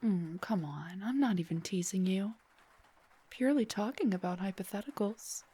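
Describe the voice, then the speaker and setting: A woman playing a playful, teasing young rock singer in an intimate roleplay audio drama, speaking softly and breathily, often near a whisper. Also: medium pitch, expressive, breathy edge.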